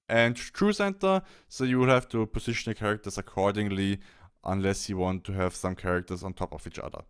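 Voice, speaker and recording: A man presenting a software tutorial, explaining step by step in a halting instructional walkthrough. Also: fairly low pitch, smooth. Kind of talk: instructional screen-capture walkthrough.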